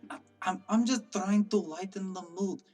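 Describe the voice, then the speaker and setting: A woman's voice: mid-range voice, normal speed, a little mellow, natural voice. Woman acting chatty teasing coworker, kinda awkward, sci fi radio play, off mic with music. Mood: flustered, placating. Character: chatty, needling, awkwardly apologetic physician colleague. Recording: distant voice with music behind.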